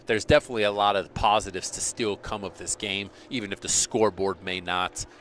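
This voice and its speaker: A man's voice: on the low side, fairly even intonation, a little fast, a bit bright, slight background noise. A man giving casual, rambling commentary on a school soccer match, restarting sentences as he talks. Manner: rambling, self-correcting, chatty. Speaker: play-by-play commentator.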